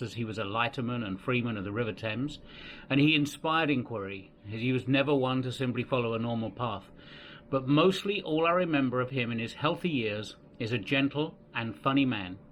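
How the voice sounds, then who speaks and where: A man's voice: medium pitch, fairly bright tone, a little hiss or hum. Older Englishman telling his family story. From England. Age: 60s. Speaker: family history narrator. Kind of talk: reflective personal narration. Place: home in the Philippines.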